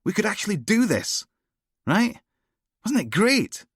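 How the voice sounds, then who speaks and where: A man voicing a naive, eager young police sergeant who chatters brightly. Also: mid-range voice, expressive, moderate pace, no echo, clean studio sound, voice pushed up, lightly acted. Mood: excited.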